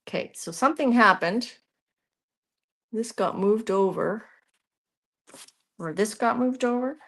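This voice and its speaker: A woman's voice: fairly high pitch, slow pace, fairly bright tone. Chatty Canadian woman demonstrating art live. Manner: chatty, thinks aloud while working, trails off mid-sentence. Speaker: art tutorial host. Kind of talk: live art demonstration, answering viewer chat.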